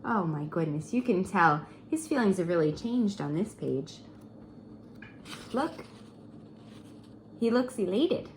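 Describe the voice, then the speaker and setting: A woman reading a story aloud for children in an expressive, coaxing way, pausing for chatty teacherly asides. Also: lowish voice, slight background noise. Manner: animated, coaxing, pausing to comment and wonder.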